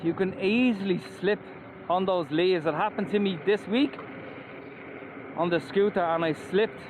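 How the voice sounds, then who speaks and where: Irish man, high voice, excited ride-along chatter, helmet on, road noise behind. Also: unhurried, phone-quality sound. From Ireland. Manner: enthusiastic, chatty, whoops while riding. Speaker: scooter reviewer. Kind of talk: casual ride-along vlog commentary. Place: outdoors on a moving scooter by roads. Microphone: wearing a helmet and mask. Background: traffic and wind.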